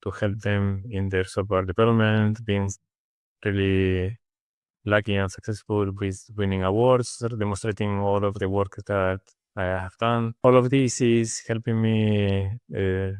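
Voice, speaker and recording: A man from Spain with a Spanish accent, answering interview questions in a halting way with many fillers and restarts. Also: lowish voice, little pitch movement, darkish tone, clear, resonant tone, dry recording. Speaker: interview guest. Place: studio. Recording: dry studio sound.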